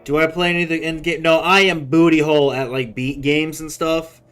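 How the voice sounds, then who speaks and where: A man's voice: a little high, level tone, brisk, a bit bright, clear, resonant tone. An American man chatting casually and in slang while live-streaming a game. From United States. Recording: game music behind the voice.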